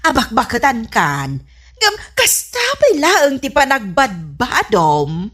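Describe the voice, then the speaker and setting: Needling, disapproving female in-law for radio comedy; slightly high, animated, slow-paced. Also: heavily acted. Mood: disapproving, mocking. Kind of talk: Ilocano radio comedy drama acting.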